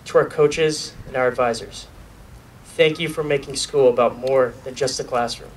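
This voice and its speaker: Young man, student speaker at outdoor graduation, earnest and lightly joking, heard from far off with clear background noise. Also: mid-range voice, moderate pace. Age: young adult. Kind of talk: graduation speech. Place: outdoor graduation ceremony at a podium. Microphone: podium mic filmed from a far camera. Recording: distant outdoor recording with noise.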